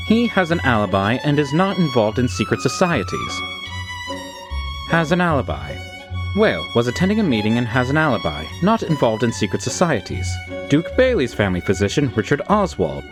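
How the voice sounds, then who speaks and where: A suave demon butler acted by a man in an anime dub. He is formal, polite and utterly composed, with a lively, swooping delivery. Music plays behind the voice. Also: mid-range voice, lightly acted. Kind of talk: anime-style manga dub acting.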